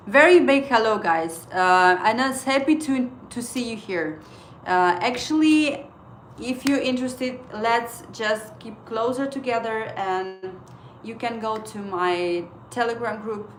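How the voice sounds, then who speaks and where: Slow-talking Estonian-accented woman streamer. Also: a little high, a bit bright, slight background noise. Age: 30s. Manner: chatty, asks viewers questions, halting non-native English with fillers. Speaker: livestream trading host. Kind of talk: casual livestream chat with viewers.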